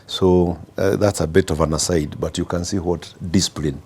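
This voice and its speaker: A middle-aged man from Kenya with a Kenyan accent, guest on an interview podcast, telling anecdotes slowly with many fillers and restarts. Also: deep voice, fairly even intonation, a little mellow, a little hiss or hum. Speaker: guest executive.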